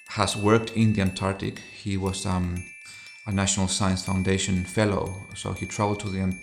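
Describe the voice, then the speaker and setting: A middle-aged man from Chile, an associate professor, giving thoughtful interview answers that often pause and restart phrases. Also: sits low, gravelly.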